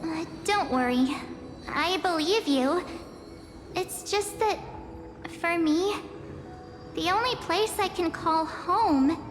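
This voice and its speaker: A woman's voice: very high-pitched, a bit bright, heavily acted. A woman voicing an earnest, plucky young girl adventurer in a video game, hesitant yet determined. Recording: long reverberant hall, music underneath.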